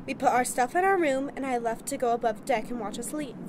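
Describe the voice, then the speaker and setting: A woman's voice: on the high side, a little fast, background noise, close to an everyday voice. A woman playing a distressed but determined young newlywed in a radio play. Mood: anxious, defiant.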